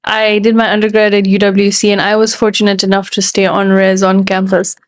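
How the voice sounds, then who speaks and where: A woman's voice: medium pitch, monotone, brisk. A young woman co-hosting an interview, thoughtful and explanatory as she shares a friend's story. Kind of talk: conversational interview.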